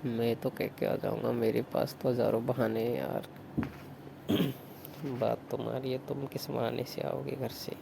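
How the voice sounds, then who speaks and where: A man acts out a resigned, flirty brother-in-law on a secret night call. His mid-pitched voice is extremely gravelly and creaky, and he talks in a low-key, conversational way. Clear noise sits behind the phone recording. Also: level tone, unhurried. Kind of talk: intimate staged phone-call acting in casual Hindi.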